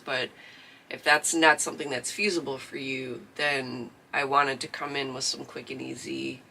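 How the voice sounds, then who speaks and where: A man's voice: mid-range voice, vocal fry, pushed and bright. A man from southeastern Michigan hosting a livestreamed crafting class, chatting casually with fillers, his voice scratchy from allergies. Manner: rambling, chatty, many fillers. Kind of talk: casual livestream chat before a lesson.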